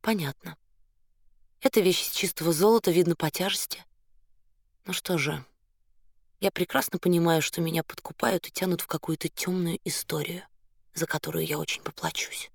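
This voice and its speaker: A proud woman desperately in love acted by a woman for an audiobook drama. She speaks with impassioned, insistent defiance. Her voice sits fairly low and is recorded dry. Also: steady tone, normal speed, pauses often, slightly rough, no echo. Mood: wary, resigned. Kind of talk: dramatised audiobook character acting.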